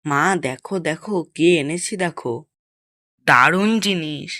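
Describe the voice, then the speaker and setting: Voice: medium pitch, measured pace, pushed and bright, dry recording, clean studio sound. A performer voicing a cheeky, fearless young schoolboy in a cartoon, exclamatory and plaintive in manner. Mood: excited. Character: cheeky fearless schoolboy, reluctant pupil, little brother. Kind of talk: Bengali cartoon voice acting.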